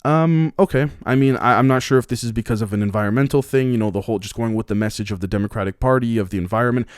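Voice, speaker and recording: A man's voice: medium pitch, racing, smooth, no room sound, clean studio sound. A man hosting a podcast, reading news headlines and giving blunt, profane opinions in a rambling way full of restarts. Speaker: podcast host. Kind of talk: casual news commentary.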